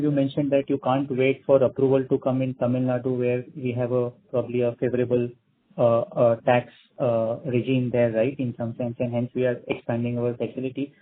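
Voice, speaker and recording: A male analyst with an Indian accent politely asking questions on a business call, often checking his phrases with a questioning tag. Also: mid-range voice. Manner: polite, tags phrases with "right?". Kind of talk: spontaneous questioning on an earnings call. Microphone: phone call. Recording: thin narrowband phone line.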